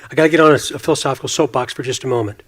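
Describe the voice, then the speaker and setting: A man's voice: medium pitch, very fast. A man in his fifties speaking as a police official at a forum, earnest and emphatic, repeating phrases for stress. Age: 50s. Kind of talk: impassioned forum speech.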